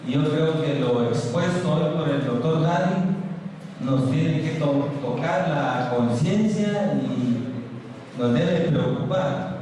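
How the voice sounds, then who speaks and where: Man moderating in a booming hall. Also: darkish tone. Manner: formal and courteous. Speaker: event moderator. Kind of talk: formal moderating of a lecture Q&A. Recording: distant echoey hall mic with noise.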